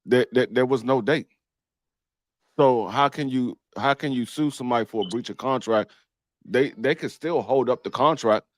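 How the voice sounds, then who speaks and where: A man's voice: medium pitch, moderate pace, dry recording. A man co-hosting a boxing talk show, stammering as he argues a point through examples. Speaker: co-host. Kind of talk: casual sports chat.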